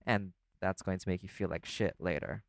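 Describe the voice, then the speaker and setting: A man playing a laid-back, caring vampire in an audio roleplay, talking the listener toward sleep in a casual, gently instructive way. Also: low-pitched, fairly even intonation, normal speed, vocal fry, dry recording, clean studio sound. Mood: wry, explaining. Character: laid-back male vampire companion, teasing yet caring.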